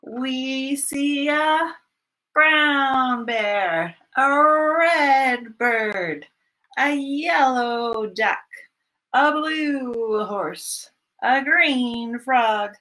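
A woman in her thirties leading a children's storytime, speaking in an animated, sing-song way with repeated phrases for young listeners. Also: sits high, drawn-out words. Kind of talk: children's storytime read-aloud and singalong. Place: small furnished room at home, indoors.

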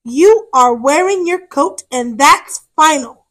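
Armadillo mama lady reading aloud, high pitched, firm n protective, kids story. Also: expressive, takes it slowly, a bit bright, exaggerated voice, low-quality recording. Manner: firm, motherly.

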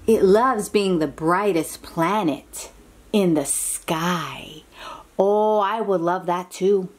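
A woman reading a picture book aloud to young children, expressive and full of exclamations, drawing out words and dropping into hushed asides as she asks simple questions. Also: lowish voice, takes it slowly. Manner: talks directly to young listeners with simple questions. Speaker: children's read-aloud host.

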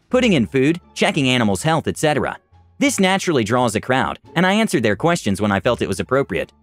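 A man's voice: mid-range voice, dry recording. A man narrating online stories as scripted narration, shifting his voice for quoted people. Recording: dry close voice-over with faint music.

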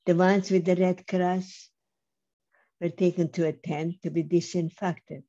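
An older woman giving a measured, emphatic personal testimony over a video call, speaking slowly with pauses. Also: lowish voice, level tone, mellow. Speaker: Holocaust survivor speaker. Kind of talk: slow personal testimony talk. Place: home room on video call. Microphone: webcam mic on video call. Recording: slightly dull lo-fi video call audio.

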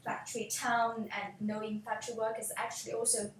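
A woman in her thirties, a native Chinese speaker, presenting her book in halting speech with many fillers. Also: little pitch movement, far from the mic. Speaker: author and researcher. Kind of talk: panel discussion. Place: office with bookshelves. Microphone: distant camera mic. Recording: echoey room from afar, faint rumble.

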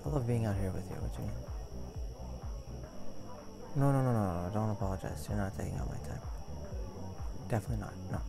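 A young man acting as a caring, goofy boyfriend in an intimate ASMR roleplay, talking in a rambling, halting way. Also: on the low side, vocal fry. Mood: tender, reassuring. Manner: rambling, halting, chuckling storyteller. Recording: music playing behind the voice.

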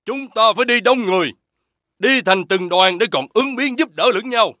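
A man voicing a commanding, careful village chief who instructs urgently in an animated fairy tale. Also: high voice, moderate pace, no echo, studio quality.

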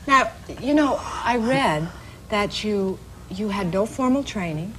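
A woman's voice: mid-range voice, lively intonation, slow pace, fairly bright tone, poor audio. A woman in her thirties co-hosts a studio TV interview, her voice swinging up and down as she asks questions. Her lapel mic carries a faint hiss. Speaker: talk show co-host. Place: TV studio set.

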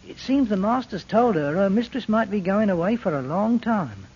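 Chatty, puzzled junior policeman, vintage radio. High, husky, quick and lively. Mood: eager, puzzled. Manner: deferential, colloquial storytelling. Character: eager, chatty, puzzled policeman, deferential underling. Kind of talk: 1940s radio drama acting. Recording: dull old radio recording.

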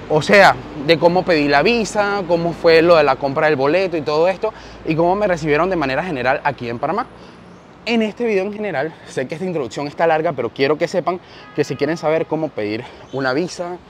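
A young man with a Venezuelan accent, vlogging animatedly to a handheld camera as he walks along a city street, wearing a lapel mic. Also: a little high. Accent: Venezuelan Spanish. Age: young adult. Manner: animated, gestures while walking and talking to camera. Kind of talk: walking vlog storytelling. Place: busy city street outdoors with traffic. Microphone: lapel mic on shirt, handheld camera. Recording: faint street and traffic noise.

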